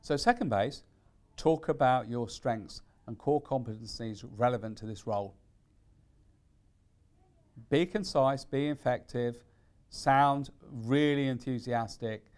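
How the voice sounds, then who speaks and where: A man with a medium-pitched voice presents practical advice in an instructional style. He phrases things deliberately and leans on key words for emphasis, as a careers consultant would. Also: a little mellow, smooth. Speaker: careers consultant giving tips.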